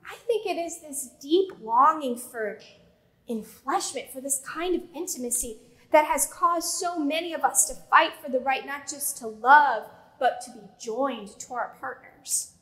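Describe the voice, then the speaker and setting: High-voiced woman preaching from the pulpit. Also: a bit bright, poor audio. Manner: measured preaching with slow emphatic pauses and gestures. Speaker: pastor. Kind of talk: sermon. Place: church sanctuary with pipe organ. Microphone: pulpit mic.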